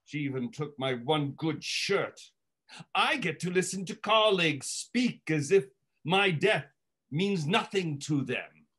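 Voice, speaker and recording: Man acting a grumbling old miser, high sharp voice, slow indignant delivery, sardonic stage drama. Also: lively intonation, breathy edge, full character voice. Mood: aggrieved. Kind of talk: theatrical in-character retelling.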